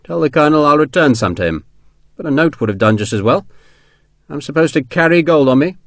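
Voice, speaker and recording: A man's voice: a little high, expressive, brisk, no room sound, pitched above the performer's own voice. Audiobook role: chilly, clipped senior officer, formal and aloof, dry sound, acted by a male narrator. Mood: cold, curt. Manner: curt, formal, reserved. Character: cold, clipped, aloof British army general. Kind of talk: audiobook character dialogue.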